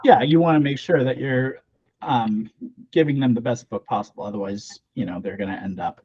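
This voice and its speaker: A man's voice: mid-range voice, fairly quick, husky. A man hosting a podcast, asking questions casually with lots of fillers. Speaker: podcast host. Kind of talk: podcast interview. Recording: slightly dull, lo-fi sound.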